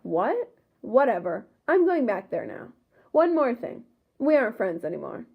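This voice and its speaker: A tinny, phone-like recording holds a spoiled villain girl , read out by a woman. She is high-pitched, petulant and demanding, a bossy storybook brat. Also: very animated, measured pace, gravelly, breathy edge, full character voice. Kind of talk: storybook read-aloud dialogue. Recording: thin, phone-like sound.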